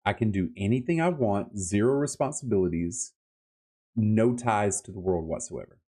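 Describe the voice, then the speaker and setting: A man with a fairly low voice hosts a casual book-review chat. He speaks in an enthusiastic, colloquial way, leaning on his words for emphasis as he shares his opinions. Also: expressive, normal speed, warm tone. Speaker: podcast host reviewing books.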